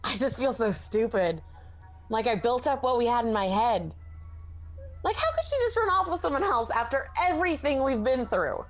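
A sardonic young woman in denial, played by a woman with a Canadian accent in a comedy radio play. She deflects with sarcasm and mutters under her breath. Her voice sits fairly high, with faint music behind. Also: sing-song, moderate pace. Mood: bitter. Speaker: therapy patient. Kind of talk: student radio comedy acting.

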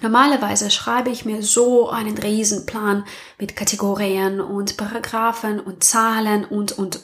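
A young woman who speaks German as a non-native, hosting her podcast in an earnest, reflective monologue and putting questions to her listeners. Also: medium pitch. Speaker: podcast host.

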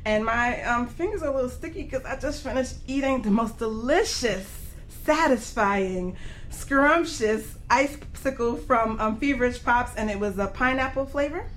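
A woman's voice: a little high, normal speed, fairly bright tone, slight background noise, low-quality recording. A woman hosting a casual radio talk show in a playful, slangy way.

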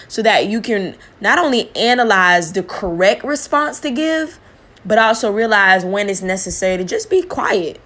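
A woman's voice: mid-range voice, fairly bright tone. A young woman talking candidly and reflectively about listening and relationships, in a casual, earnest way. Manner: casual speech with fillers and restarts. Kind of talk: reflective personal talk.

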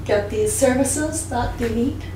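Soft-spoken Korean-born woman, echoey room. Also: a little high, measured pace, slight background noise. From South Korea. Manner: soft-spoken, hesitant, frequent ums. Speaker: guest interpreter. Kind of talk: casual interview answers. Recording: echoey room, mic at a distance.

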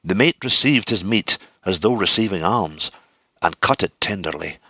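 A man reading literary narration from a script, with deliberate, theatrical phrasing. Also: sits low, animated, bright, forceful tone, breathy edge, no echo. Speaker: audiobook reader.

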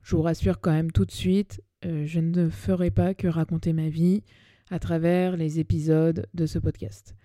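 A woman's voice: low-pitched, flat delivery, normal speed, warm tone, no echo. A woman of about thirty with a Parisian French accent, hosting a personal podcast in a chatty, self-deprecating, colloquial way, close on the microphone. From Paris, France. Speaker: podcast host. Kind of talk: personal monologue podcast, semi-scripted narration.

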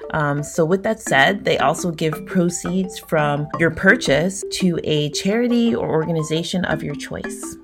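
A woman's voice: low voice, measured pace, few pauses. A woman hosting a podcast, speaking in an encouraging, scripted way over a music bed. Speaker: podcast host.

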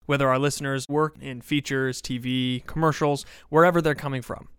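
Male podcast host, crisp and resonant, close dry sound, presenting and interviewing. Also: on the high side, a bit bright, no room sound. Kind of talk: scripted podcast introduction and interview questions.

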